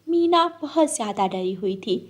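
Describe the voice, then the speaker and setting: A woman's voice: mid-range voice, animated, normal speed, husky. A woman storyteller narrating a scripted children's story.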